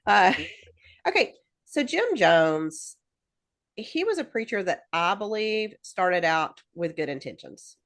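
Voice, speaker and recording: A woman's voice: mid-range voice, big pitch swings, takes it slowly, fairly bright tone. A woman co-hosting a podcast in casual chat, rambling with lots of fillers and restarts and laughing easily. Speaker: podcast co-host.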